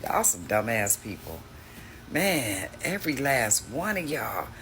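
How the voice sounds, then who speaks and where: A man's voice: medium pitch, very animated, slow, bright, forceful tone, slight background noise. A man hosting a reaction video, talking casually in a mocking, slangy way.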